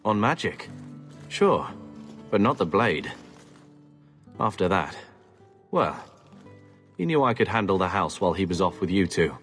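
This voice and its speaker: Soldier brother, low pitch, plainspoken, clipped, wistful, naturalistic game acting, faint music. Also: male voice, very animated, a little slow, pauses often, a bit bright, exaggerated voice. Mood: wistful, brooding.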